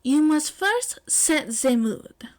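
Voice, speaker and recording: A woman's voice: high-pitched, sing-song, voice pushed up, exaggerated voice. A woman voicing a flamboyant teenage boy, a self-styled love expert, theatrical and grandiose. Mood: grandly instructing. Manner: theatrical, grandiose, drawn-out flourishes.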